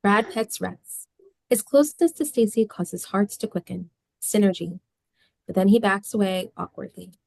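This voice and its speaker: A woman's voice: medium pitch, normal speed, gravelly, a little mellow, dry recording. A woman reading scene descriptions and stage directions as the narrator of a script.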